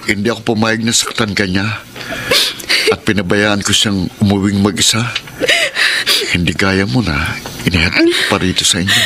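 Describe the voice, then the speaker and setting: A man's voice: lowish voice, normal speed, bright, forceful tone, a little hiss or hum. An actor playing a hesitant young man who stammers and evades in a radio drama. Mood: reassuring. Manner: stammering, evasive. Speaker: Jonathan, two-timing boyfriend.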